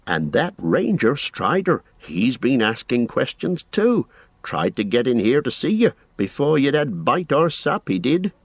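A man's voice: medium pitch, sing-song, a little slow, slightly rough, no echo, pitched above the performer's own voice, exaggerated voice. A man voicing a fussy, kindly, rustic innkeeper who chatters and exclaims in an audiobook. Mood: agitated. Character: fussy, flustered, kindly fat male innkeeper. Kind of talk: audiobook character voice.

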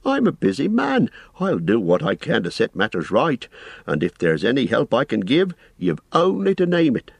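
A man voicing a fussy, forgetful old innkeeper who rambles in a flustered, drawn-out way. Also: mid-range voice, huge pitch swings, gravelly, breathy edge, no echo, studio quality, pitched above the performer's own voice, heavily acted. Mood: apologetic fretful.

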